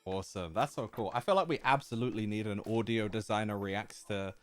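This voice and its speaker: A man's voice: lowish voice, a little fast, faint music behind. A man hosting a casual livestream, eager and chatty, laughing often as he talks with his guest. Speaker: livestream host.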